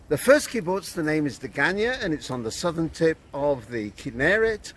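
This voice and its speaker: A man in his seventies from Manchester with a British accent, giving a measured, storytelling guided talk about kibbutz life. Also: a little high, a bit bright, slight background noise. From England. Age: 70s. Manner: slow, measured, storytelling delivery. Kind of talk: unscripted guided explanation to camera. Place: outdoors on a kibbutz.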